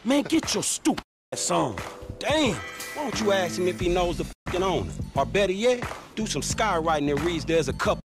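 A man's voice: high-pitched, sing-song, fairly quick. An American man acting a sarcastic, scornful young streetwise criminal with slangy speech in a video game cutscene. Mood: exasperated. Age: young adult. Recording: music clearly behind.

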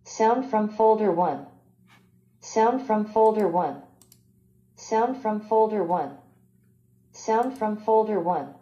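A woman speaking short recorded announcement prompts, played back with dull, low-fidelity sound through a small speaker. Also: lively intonation, takes it slowly, pauses often, dark tone.

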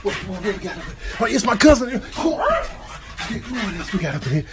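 A man voicing mouthy, excitable pets with slangy, repetitive talk in a comic voice-over. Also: high-pitched, a little slow, very noisy, exaggerated voice. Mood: excited. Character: animated, mouthy male pets, chatty and excitable.

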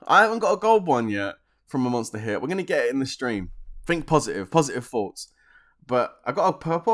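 A man's voice: on the high side, a bit bright. A man, chatting casually on a game live stream, joking in slang, laughing often and answering viewer questions from his desk at home.

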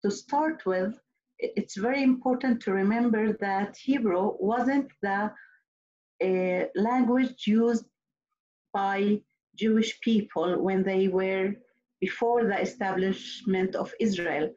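A woman's voice: mid-range voice, slow pace, darkish tone. A middle-aged woman whose first language is Arabic, giving a prepared panel talk over a video call, earnest and hesitant, with frequent restarts. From Nazareth. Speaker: panelist, clinical psychologist. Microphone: laptop webcam mic on a video call. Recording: dull lo-fi video call audio.

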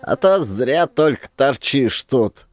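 A man's voice: mid-range voice, big pitch swings, slow pace, pitched above the performer's own voice. A man voicing a venomous little old village headman in an audio drama, needling and mocking as he gives advice.

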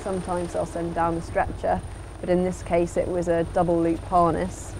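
A woman's voice: lowish voice, monotone, moderate pace, background noise. A woman giving an instructional voice-over as a sailing instructor, in a plain, explanatory way.

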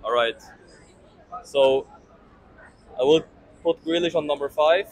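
A young man from Austria answering a street interview outdoors, careful and halting, repeating words as he goes. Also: fairly high pitch. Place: outdoor city sidewalk.

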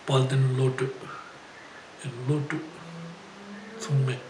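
An older man, a Buddhist teacher, reading a biography aloud haltingly with frequent pauses. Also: medium pitch, level tone. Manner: halting, with pauses and throat clearing. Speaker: Buddhist teacher and spiritual director. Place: indoor shrine room, seated at a desk. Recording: echoey room, clear background noise.

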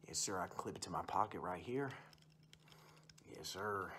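A young man acts out a wild, rustic uncle in an ASMR roleplay. His voice sits a little low, and he rambles in a folksy, bragging way, circling back to stock phrases. The recording is made close up indoors, with audible background noise and hum. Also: moderate pace, bright, forceful tone. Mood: boastful, enthusiastic. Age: young adult. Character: wild rustic uncle, boastful hunting and fishing enthusiast. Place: small room indoors.

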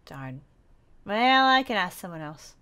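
Casting: woman as childlike girl survivor in a game roleplay, blunt, cheerful, stubborn, short simple lines, mid-pitched. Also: big pitch swings, unhurried, bright, forceful tone, clean tone. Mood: wistful, insistent.

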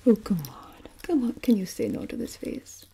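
Bossy vampire ghoul played by a woman, coaxing, drawn-out whisper, dark and creaky, for hypnotic ASMR. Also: fairly high pitch, sing-song, heavily acted. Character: wheedling, bossy bald Nosferatu-like vampire ghoul. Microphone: close microphone.

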